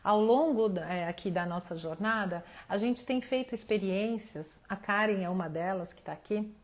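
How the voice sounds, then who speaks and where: A Brazilian woman speaks as a mentor leading an informal live lesson. Her pitch sits in an average female range. She addresses listeners directly and thinks out loud as she explains, her voice a little worn from talking. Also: normal speed. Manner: conversational, addresses the audience directly, thinks aloud. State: voice tiring, losing it slightly. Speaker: mentor hosting an online live class. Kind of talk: informal motivational teaching to camera.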